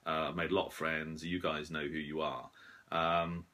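A man in his forties giving a casual, modest, hesitant update to camera in a small room, sounding slightly rough and husky after a late night. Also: a bass voice, level tone, moderate pace, bright, forceful tone. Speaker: shortwave radio hobbyist and video host.

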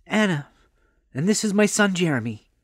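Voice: medium pitch, expressive, moderate pace, no room sound, clean studio sound, voice pushed up, natural voice. A narrator voicing a grateful, timid young mother in an audiobook story, meek and earnest. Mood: anxious, grateful.

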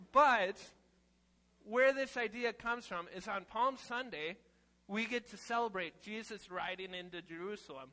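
A young man giving a playful, casual children's sermon in an animated, informal way, exclaiming as he goes. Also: high-pitched, rough recording. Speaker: children's message leader at church. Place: church sanctuary, seated on chancel steps among children.

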